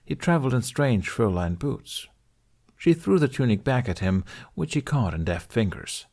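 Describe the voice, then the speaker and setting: A man's voice: mid-range voice, very animated, moderate pace, darkish tone. A man reading scripted story narration as the narrator of an audiobook.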